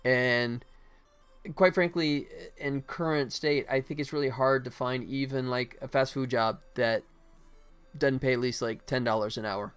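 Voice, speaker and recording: American male livestreamer, mid-pitched, informal and rambling, talking through his work over background music. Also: steady tone, unhurried, fairly bright tone, clean tone. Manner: rambling, thinking aloud. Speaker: livestream host, game developer. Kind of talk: casual livestream chat while working.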